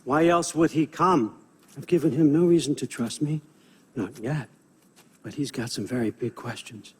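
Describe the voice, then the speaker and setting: A man's voice: mid-range voice, normal speed, breathy edge, in a large hall. An actor playing a sly, sardonic older god-king in a video game, wheedling and conversational with menace underneath. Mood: breezy, teasing. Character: sly, wry older male Norse god-king and patriarch. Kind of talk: cinematic video game acting.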